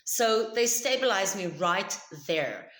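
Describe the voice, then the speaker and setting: A woman with a South African accent whose first language is Afrikaans tells a personal story to camera. She speaks loudly and emphatically in a chatty way. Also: medium pitch, lively intonation, takes it slowly, echoey room. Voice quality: loud voice. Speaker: vlogger.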